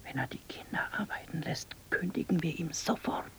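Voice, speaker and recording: An actress playing a married farm wife who answers in short, breathy whispers. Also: female voice, deep voice, expressive, brisk, a bit bright. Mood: worried. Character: anxious farmer's wife, married woman.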